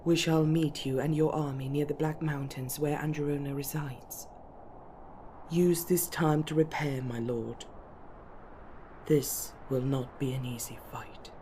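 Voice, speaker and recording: A woman playing a composed, strategic elven noblewoman, speaking in formal, measured counsel for an ASMR fantasy roleplay. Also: deep voice, steady tone, mellow, slight background noise. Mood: respectful, resolute.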